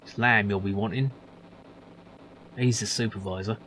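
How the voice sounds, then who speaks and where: A man voicing a gruff, grumpy older male factory worker, grumbling and dismissive. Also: fairly low pitch, fairly even intonation, normal speed, fairly bright tone, a little hiss or hum, lightly acted, low-quality recording. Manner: grumbling, dismissive, calls others son.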